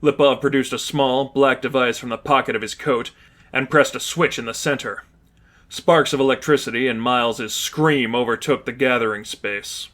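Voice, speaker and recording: A man's voice: medium pitch, fairly even intonation, moderate pace, a bit bright. A man reading scripted fiction aloud as an audiobook narrator.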